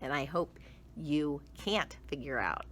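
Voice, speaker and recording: A woman reading a picture book aloud to children, expressively, with drawn-out emphasis. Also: low voice. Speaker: children's storyteller.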